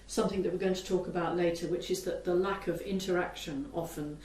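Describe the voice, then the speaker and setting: A woman's voice: fairly low pitch, steady tone, quick pace, a little mellow, a little hiss or hum. A woman co-presenting a coaching webinar, adding practical explanatory points in a conversational way. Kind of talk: explanatory teaching talk. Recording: echoey room, distant from mic.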